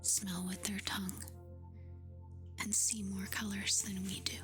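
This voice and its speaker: A woman doing soft-spoken ASMR, reading facts aloud in a hushed, soothing, breathy whisper. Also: medium pitch, level tone. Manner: slow, hushed, soothing delivery with short phrases. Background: music.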